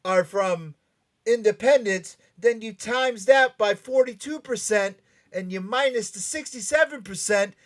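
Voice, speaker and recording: An excitable show host in casual panel banter, often stammering and repeating phrases. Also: medium pitch, a little slow.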